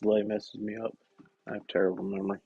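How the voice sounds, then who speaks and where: A young man chatting casually on a work-shop live stream, rambling and correcting himself, his voice hoarse and scratchy from a cough. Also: sits low, monotone, fast talker, dry recording, highs cut off. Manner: rambling, self-correcting, soft-spoken asides. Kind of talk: casual live-stream chat while working.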